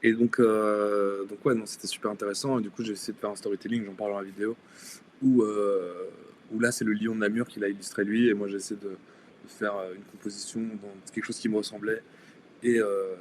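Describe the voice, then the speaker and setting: A man's voice: on the low side, level tone, brisk, a little hiss or hum. A young man from Denmark, not a native French speaker, chatting as a guest on a live stream and talking hesitantly, with many fillers and restarts. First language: Danish. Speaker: guest illustrator and tattoo artist. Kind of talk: casual live-stream chat.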